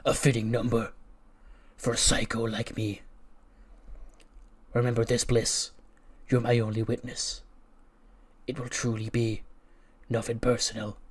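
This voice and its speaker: A man's voice: mid-range voice, little pitch movement, unhurried, long pauses, slightly rough. A man voicing a menacing, arrogant psycho wrestling villain, speaking in a boastful and ominous manner.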